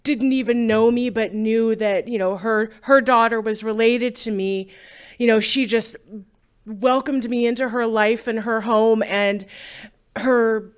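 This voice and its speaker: Woman, fairly high voice, earnest, halting, lots of "you know". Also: steady tone, clear, resonant tone. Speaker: guest speaking at a memorial. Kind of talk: informal spoken remembrance.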